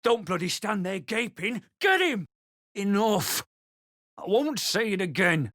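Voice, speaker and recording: A man playing a rough, angry young peasant in a video game, speaking bluntly and urgently. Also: sits high, big pitch swings, unhurried, sharp tone, no room sound, studio quality, heavily acted.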